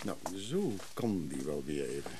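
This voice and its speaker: A man's voice: extremely low pitch, very animated, measured pace, exaggerated voice. An actor playing a down-on-his-luck visiting brother in a radio drama, politely charming and a little teasing. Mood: guarded. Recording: noisy radio play scene.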